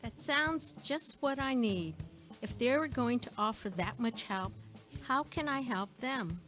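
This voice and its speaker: Promo sketch listener, actress: higher female voice, earnest, wide-eyed, theatrical wonder, music bed. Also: lively intonation, unhurried, fairly bright tone. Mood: delighted. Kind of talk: scripted radio promo sketch.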